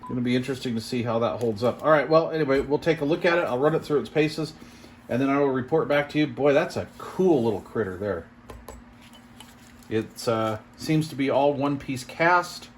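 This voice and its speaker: A man's voice: mid-range voice, fairly quick. A man giving casual unboxing commentary as a reviewer, thinking aloud with mild, impressed exclamations. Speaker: product reviewer. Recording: clear background noise and room ambience.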